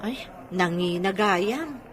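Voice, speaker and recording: A woman's voice: medium pitch, expressive, slow, a bit bright. Exclaiming Ilocano woman, an actress playing weary returnee. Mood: indignant haggling. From Philippines. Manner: exclaiming, haggling, complaining. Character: weary, thrifty domestic helper woman returning from Hong Kong. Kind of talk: comedy drama acting.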